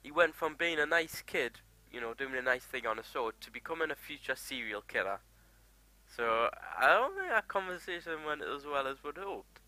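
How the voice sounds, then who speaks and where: A man's voice: fairly high pitch, very animated, moderate pace, bright, forceful tone, no room sound, poor audio. A man with a mild Geordie accent giving chatty, joking let's play commentary. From Newcastle, England. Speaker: gaming YouTuber.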